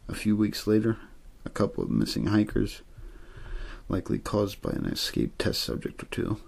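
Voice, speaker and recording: A man reading a story aloud in ASMR style, soft-spoken and measured, with frequent pauses and a breathy, gravelly voice. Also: fairly low pitch, big pitch swings. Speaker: audiobook-style reader for an ASMR channel.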